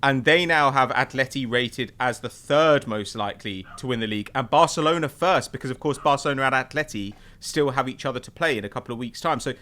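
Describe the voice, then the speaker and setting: A man's voice: mid-range voice, a little fast, hardly any pauses, sharp tone. A man hosting a casual football discussion, talking in an inquisitive, conversational way. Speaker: podcast host.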